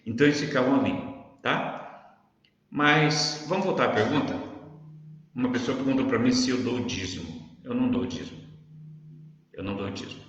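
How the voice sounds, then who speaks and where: Man, medium pitch, São Paulo Brazilian accent, informal Bible teacher, conversational, didactic, strong room echo, muffled lo-fi recording. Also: normal speed, long pauses, a bit bright. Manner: conversational, didactic, frequent check-in tags. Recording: very echoey reverberant room, dull sound.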